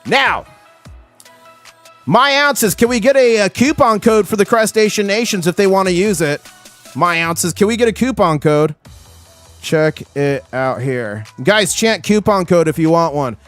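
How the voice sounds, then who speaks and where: A man hosting a casual livestream, his voice hoarse, talking with excitable hype-man energy. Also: high voice, a little slow, faint music behind. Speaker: livestream host.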